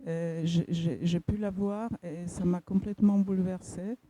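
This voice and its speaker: A woman from Poland in the audience asking a question, speaking hesitantly with many pauses and restarts. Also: lowish voice, fairly even intonation, mellow, a little hiss or hum. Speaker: audience member.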